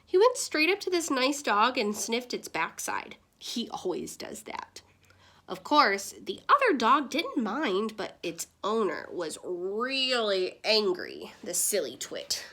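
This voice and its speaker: A woman's voice: on the high side, huge pitch swings, slow, sharp tone, full character voice. A woman reading as a cheerful, cheeky young girl in a storybook, chatty and frank.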